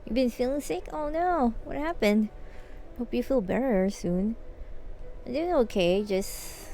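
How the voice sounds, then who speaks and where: A woman game streamer chatting casually and commenting on gameplay in an informal, halting way. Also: on the high side, lively intonation, warm tone, a little hiss or hum. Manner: informal, halting, repeats herself. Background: game music.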